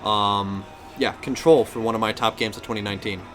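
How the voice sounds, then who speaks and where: A man's voice: fairly low pitch, fairly even intonation, a little fast, fairly bright tone, soft background music. A man hosting a podcast in casual gaming chat, talking in a rambling, self-correcting way. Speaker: podcast host.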